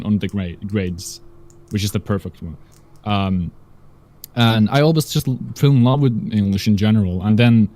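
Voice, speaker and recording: A young man chats on a live stream. his voice sits a little low, and he rambles as he gropes for words, leaning on "like" and "um" along the way. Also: a little mellow. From Russia. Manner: rambling, searches for words with many fillers. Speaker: guest. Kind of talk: casual stream chat.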